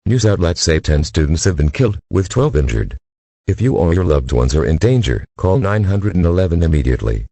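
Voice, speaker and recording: A man performs a grave emergency broadcast announcer with a gruff, synthetic edge. The voice is extremely low and husky, reading formal warnings in a dry, close recording. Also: sing-song, fairly quick, warm tone, no room sound, heavily acted. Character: grave male emergency alert system announcer.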